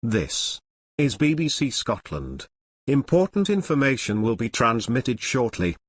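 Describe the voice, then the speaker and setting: A man gives a formal scripted emergency announcement. His mid-pitched voice moves through a wide, lively range, and the recording is dry. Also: unhurried, dry recording.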